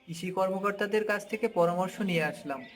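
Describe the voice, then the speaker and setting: A chatty village farmer voiced by a man speaks in a very high male voice. He is a friendly neighbour in a cartoon folk tale, with faint music and birdsong in the background. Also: fast talker, a bit bright. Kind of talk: cartoon fairy-tale dialogue acting.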